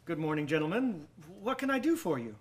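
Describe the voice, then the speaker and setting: An actor in a radio drama playing an over-polite, nervous murderer with a genteel, halting way of talking. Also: male voice, a little high, very animated, background noise. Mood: nervous politeness. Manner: genteel, overly courteous, halting. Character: nervous, over-polite male murderer hiding guilt from police.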